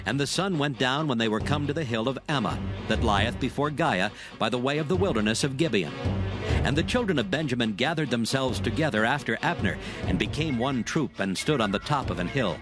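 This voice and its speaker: Male scripture narrator over clear background music. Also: medium pitch, animated, fairly quick.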